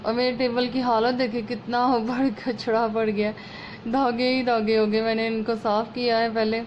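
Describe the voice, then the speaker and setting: Female vlog host, sore throat with a slight rasp, homely chatty tutorial delivery, somewhat high voice, muffled phone recording. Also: level tone, normal speed. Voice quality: slightly hoarse. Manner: chatty, homely, explaining as she works. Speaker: sewing channel host. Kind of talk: casual step-by-step sewing tutorial. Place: at home over a work table. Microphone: phone camera over hands. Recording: muffled lo-fi phone audio, faint room noise.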